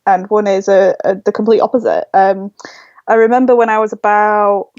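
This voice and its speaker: A woman's voice: mid-range voice, fairly even intonation, moderate pace, a little mellow, no room sound. A woman in her twenties with a Yorkshire accent chats as a podcast guest, gushing with enthusiasm and scattering ums and likes through her answers. Her voice comes through dry and a little dull, like a lo-fi remote recording. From Leeds, England. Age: 20s. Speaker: guest, blogger. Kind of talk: casual interview chat.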